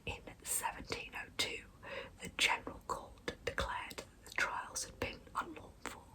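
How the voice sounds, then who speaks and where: A woman whispering a true crime story as an ASMR host, hushed throughout. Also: measured pace.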